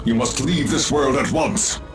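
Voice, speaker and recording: Man voicing a haughty alien elf warrior, slow formal speech, scornful, loud music behind. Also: mid-range voice, close to an everyday voice.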